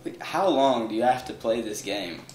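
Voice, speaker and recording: Low-voiced excitable woman gaming. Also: normal speed. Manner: excitable, squealing exclamations. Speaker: gaming video host. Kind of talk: casual gameplay commentary. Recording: echoey room with faint noise.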